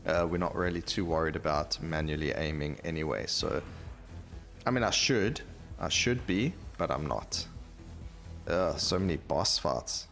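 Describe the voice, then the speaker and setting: Very deep-voiced, gravelly man commentating gameplay. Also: measured pace, a bit bright. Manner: rambling, thinking aloud while playing. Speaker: gaming video host. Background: game music.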